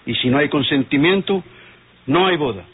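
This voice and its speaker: Radio serial: authoritative father, emphatic, slightly high, rough voice. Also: expressive, moderate pace. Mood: stern. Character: stern, proud father of a bride. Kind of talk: old Mexican radio drama acting. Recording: tinny old radio recording.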